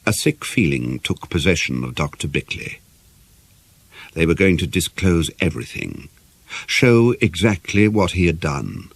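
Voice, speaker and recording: A man reading a novel as an audiobook narrator, in a measured, formal and expressive way. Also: mid-range voice. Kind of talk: scripted narration of a murder mystery novel.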